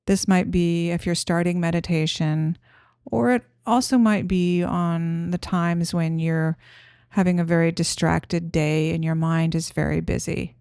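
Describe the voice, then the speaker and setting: Soft mellow lady doing guided meditation, gentle and soothing, really dry close recording. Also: lowish voice, steady tone, unhurried, vocal fry, clear, resonant tone, no echo.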